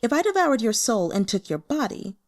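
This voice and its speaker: A woman's voice: fairly high pitch, big pitch swings, fairly quick. A woman voicing a gleeful, predatory immortal woman with an eager, domineering way of talking, in an audiobook story.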